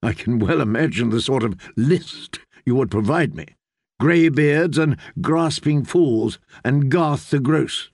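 A man's voice: medium pitch, lively intonation, slow pace, darkish tone, husky, no echo, studio quality, exaggerated voice. A man voicing a cold, scornful queen who interrogates and mocks in an audiobook. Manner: cutting, mocking, interrogating. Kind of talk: audiobook character voice.

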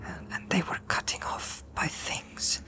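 A man voicing a small, frightened young hobbit in a fantasy storybook, halting and stammering as if badly shaken. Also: very high voice, animated, whispered, pitched above the performer's own voice, heavily acted. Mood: haunted dread. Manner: stammering, halting, breathy and trembling.

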